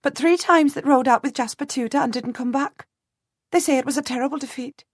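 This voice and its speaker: A woman's voice: high voice, a little fast, no room sound, studio quality, voice pushed up. A woman voicing a young goose girl with a strong rural way of talking, eager and chatty. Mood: grim. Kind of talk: audiobook character voice.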